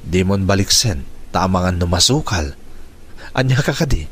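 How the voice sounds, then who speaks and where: A man's voice: low-pitched, lively intonation, normal speed. A man playing a nervous, evasive young suitor in a radio drama, with a hesitant way of talking. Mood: guarded. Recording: faintly noisy radio drama track.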